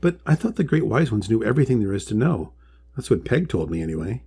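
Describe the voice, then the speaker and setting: A man's voice: on the low side, big pitch swings, quick pace, gravelly, dark tone, husky, heavily acted. A man voicing a curious, earnest young female horseshoe crab in a storybook, full of polite questions. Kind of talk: storybook character voice.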